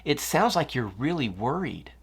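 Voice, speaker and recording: A woman voicing a kind, upbeat young schoolgirl in a children's story, speaking with animated encouragement. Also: a bass voice, normal speed, breathy edge, voice pushed up, full character voice. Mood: sympathetic.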